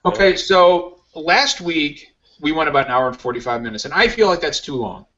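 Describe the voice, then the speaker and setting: A man's voice: fairly high pitch, lively intonation, normal speed, sharp tone, lo-fi sound. A man hosting a casual podcast, rambling and joking about himself. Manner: rambling, self-mocking, joking. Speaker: podcast host. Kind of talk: casual chat.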